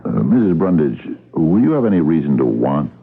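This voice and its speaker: An actor in a radio drama playing a middle-aged police captain, gravelly and halting as he patiently probes with questions. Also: rumbling low, big pitch swings, heavily acted. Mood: awkward, persistent. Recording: muffled, band-limited old radio broadcast.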